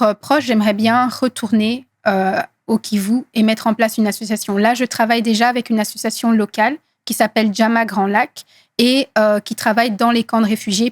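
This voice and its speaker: A woman in her thirties from Congo whose first language is Swahili, speaking earnestly in an interview with many hesitant pauses. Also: on the high side, dry recording, studio quality. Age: 30s. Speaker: guest activist. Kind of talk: interview conversation.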